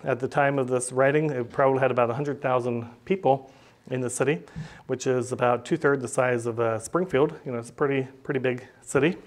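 A man's voice: mid-range voice, brisk. A middle-aged man, preaching a teaching sermon at a podium in a small church and speaking plainly with many "uh" and "um" fillers. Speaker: pastor. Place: small church room, indoors. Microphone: podium microphone.

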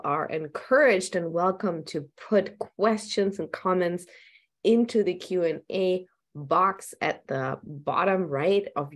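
A woman in her thirties moderating a panel discussion over a webcam, somewhat hoarse, speaking animatedly with rambling questions. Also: medium pitch. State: losing her voice. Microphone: video call webcam.

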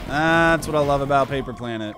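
A man in his twenties streaming a game, chatting with viewers, excitable and swearing freely. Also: medium pitch, a little fast, music in the background. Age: 20s. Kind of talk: live gameplay commentary.

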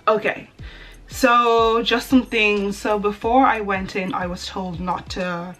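A woman's voice: medium pitch, fairly even intonation, slow pace, fairly bright tone, soft background music, rough recording. A young woman chatting to camera in a small bedroom, rambling and often correcting herself. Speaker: beauty vlogger. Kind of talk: casual chat to camera. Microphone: camera in front at desk distance.

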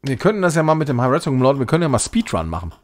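A man commentates live on a game in a casual, chatty way. His mid-pitched voice moves up and down in a lively manner as he rambles and thinks aloud. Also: racing. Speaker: game streamer, host.

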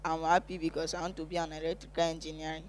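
A teenage boy with a Nigerian accent recites a testimony. His voice is fairly high and very even in pitch. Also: fast, a little hiss or hum. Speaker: student giving testimony.

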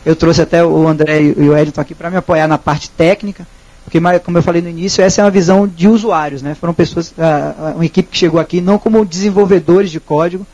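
Husky high-voiced Brazilian man presenting. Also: steady tone, fast talker, a little mellow, dull audio. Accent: Brazilian Portuguese. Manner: hesitant, many restarts, explanatory. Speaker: speaker at a technical talk. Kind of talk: presentation and audience Q&A. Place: small auditorium. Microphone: handheld microphone.

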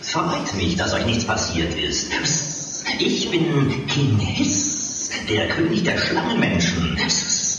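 A man's voice: on the low side, very animated, takes it slowly, few pauses, far from the mic. An actor playing a sly king of snake people in an audio drama, with hissing speech. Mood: sly welcome. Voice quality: hissing, breathy-voiced sibilance. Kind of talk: children's audio drama acting. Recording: archive playback in echoey noisy hall.

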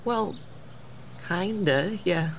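A woman's voice: fairly low pitch, very slow, heavily acted. An actress playing a good-natured small-town woman in an audio drama, talking casually about a local legend. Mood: playful. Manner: easygoing, casual. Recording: clear background ambience noise.

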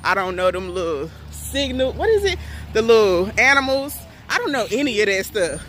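A young woman vlogging outdoors on a campus, talking into a phone held at arm's length. Her voice is bright and very lively in pitch, chatty and playful. Faint outdoor noise sits behind her. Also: normal speed. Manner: playful, chatty, addresses viewers as y'all. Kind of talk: casual vlog chat. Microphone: phone camera held at arm's length.

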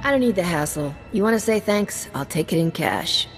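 A woman's voice: lowish voice, lively intonation, normal speed, music in the background, natural voice. An actress playing a wisecracking female smuggler captain in a video game, with a sarcastic, flippant manner. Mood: wry. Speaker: player character smuggler captain.

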